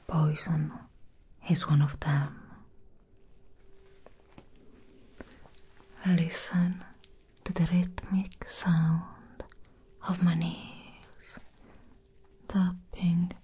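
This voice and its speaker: A young woman playing a calm, commanding female assassin in a whispered ASMR roleplay, slowly and softly instructing the listener up close. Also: fairly low pitch, slightly rough, heavily acted. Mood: calm, controlling. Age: young adult. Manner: slow, hushed, instructing the listener. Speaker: ASMR performer. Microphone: very close ASMR microphone.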